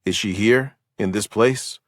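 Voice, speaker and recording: Patient police detective, read by a narrator, gentle questions, low dry voice, audiobook. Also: male voice, unhurried, dry recording, lightly acted.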